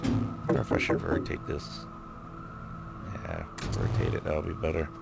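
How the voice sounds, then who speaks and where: A deep-voiced man with a gravelly edge talks through a video game session, thinking aloud and wandering through his thoughts. His voice is slightly worn, as if he is losing it, and clear game music plays under his talk. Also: sing-song, moderate pace. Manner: thinking aloud, rambling with fillers. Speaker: gameplay commentator. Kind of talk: casual let's-play commentary.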